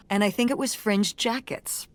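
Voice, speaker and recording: A middle-aged mother, cautious and protective, with a wry, dry sense of humor that slips into scolding when she gets worried. in a story-driven video game, at an ordinary mid-range pitch.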